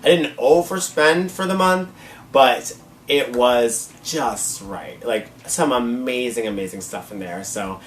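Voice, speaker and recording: A hoarse man hosting a casual vlog, gushing and repeating words for emphasis as he talks to viewers. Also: mid-range voice, takes it slowly, a little hiss or hum. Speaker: host. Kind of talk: casual manga haul vlog. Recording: echoey room, mic at a distance.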